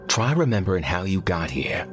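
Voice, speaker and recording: A man's voice: very deep voice, very animated, moderate pace, a bit bright, faint music behind, heavily acted. A man voicing a sardonic, brainy male tech colleague in an audiobook story, wry, emphatic and conversational. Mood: calm reassurance.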